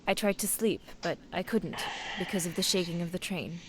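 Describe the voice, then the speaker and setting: An actress playing a poised, guarded young American diplomat's wife in a video game, composed and polite. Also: female voice, lowish voice, brisk, noisy background, natural voice. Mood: matter-of-fact. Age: young adult.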